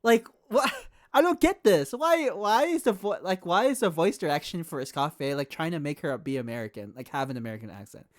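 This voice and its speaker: A woman game streamer giving excitable, casual live commentary and reacting to what she plays. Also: low voice, fairly quick.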